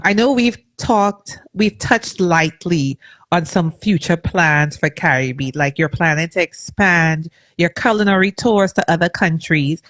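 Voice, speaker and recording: A woman from Trinidad with a Trinidadian accent, hosting a casual interview on a video call, lively and chatty. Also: fairly low pitch, dry recording. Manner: lively and chatty, laughs with guests. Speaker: host.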